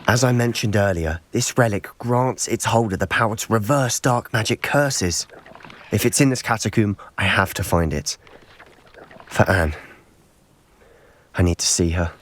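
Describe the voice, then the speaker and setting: Man acting determined young male student, lowish voice, serious, intent, game dialogue. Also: moderate pace, long pauses, lightly acted. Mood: urgent, determined. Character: earnest, driven young male student searching desperately. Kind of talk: video game character acting.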